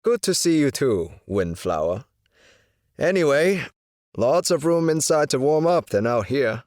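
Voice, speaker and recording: A man's voice: a little high, big pitch swings, measured pace, a little mellow, dry recording, clean studio sound. A man playing an easygoing, welcoming dance director in an audio drama.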